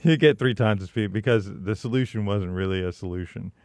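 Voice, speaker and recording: A man co-hosting a talk show, speaking haltingly with many fillers and chuckles. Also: fairly low pitch, darkish tone, dry recording. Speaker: co-host. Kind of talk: casual talk show chat.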